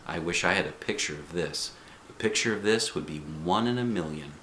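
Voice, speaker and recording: A man reading aloud, voicing a calm, gentle male nature guide who speaks softly. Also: sits low, fairly quick, roomy sound, a little hiss or hum, pitched below the performer's own voice. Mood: soothing. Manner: soft and soothing.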